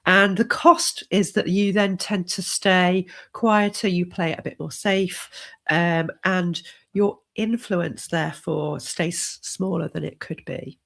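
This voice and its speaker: A middle-aged woman leadership coach hosting a live stream while she has a cold, talking in a measured, encouraging way. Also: on the low side, fairly even intonation, vocal fry. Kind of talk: solo live stream talk.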